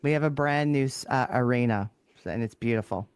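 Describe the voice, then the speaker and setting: A woman's voice: extremely low pitch, a little fast, a little mellow, smooth. A woman with a Canadian accent hosting a podcast and asking questions in a casual interview style.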